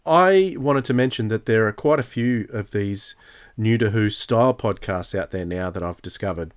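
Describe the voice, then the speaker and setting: A man's voice: lowish voice, moderate pace, clear, resonant tone. A man, co-hosting a podcast in a casual, chatty style. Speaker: podcast co-host. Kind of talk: casual chat.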